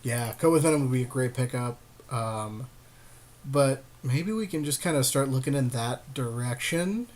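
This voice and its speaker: A man's voice: mid-range voice, normal speed, a little mellow. A man co-hosting a podcast, chatting agreeably in casual card game draft commentary. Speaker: podcast co-host.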